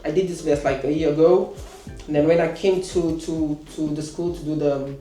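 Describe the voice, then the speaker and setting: Hesitant man, mellow voice. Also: fairly high pitch, fairly even intonation, normal speed, roomy sound, soft background music. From Portugal. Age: 30s. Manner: hesitant, many fillers and restarts. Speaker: course student. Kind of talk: casual interview answers.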